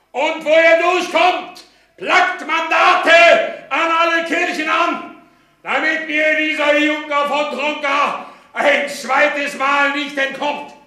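An enraged rebel boss in a radio play, super high strained voice, barking orders, echoey room. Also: male voice, exaggerated voice. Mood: grim, commanding. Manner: declamatory, commanding, shouted. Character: wronged horse dealer turned furious, vengeful rebel leader. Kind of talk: German radio play acting.

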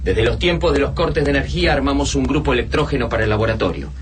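An actor playing a grave, practical scientist and loyal friend in a radio drama, with a Rioplatense Argentine accent. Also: male voice, mid-range voice, expressive, fast talker, background noise, lightly acted. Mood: practical, urgent. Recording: dramatic music under the voice.

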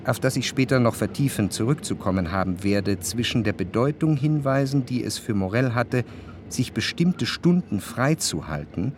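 An actor playing a reflective first-person narrator, delivering measured literary prose for a radio drama. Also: male voice, medium pitch, animated, slight background noise, natural voice. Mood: explanatory. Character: reflective male narrator recalling his memories, literary and measured.

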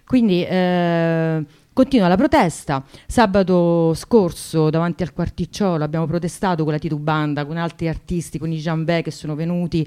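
A woman talking spontaneously on the radio about a protest, often halting and searching for words. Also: low-pitched, steady tone, dark tone, smooth. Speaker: activist guest, singer.

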